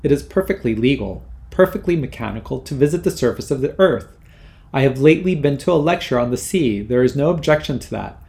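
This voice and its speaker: Male reader doing a haughty, fussy mother, indignant and superior, gravelly. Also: medium pitch, lively intonation, fairly quick, warm tone. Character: prim haughty middle-aged mother, machine-devoted.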